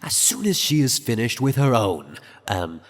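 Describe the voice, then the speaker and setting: Radio drama role: condescending, sarcastic old royal advisor pony, male actor, animated intonation, long hall reverb. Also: medium pitch, moderate pace, close to an everyday voice. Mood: scornful, sarcastic. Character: haughty older male pony royal chamberlain. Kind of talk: fan-made radio drama acting.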